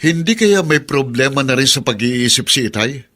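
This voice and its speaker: An actor playing a concerned, respectful adult son in a radio drama, earnest and pleading. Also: male voice, medium pitch, expressive, normal speed, bright, forceful tone.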